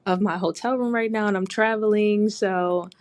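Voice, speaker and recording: A woman's voice: medium pitch, fairly even intonation, normal speed, darkish tone. A woman in her late twenties from the US East Coast, hosting a podcast from a hotel room in a casual, chatty way, laughing often and swearing freely. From Delaware, US East Coast. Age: 20s. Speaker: podcast host. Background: construction noise outside.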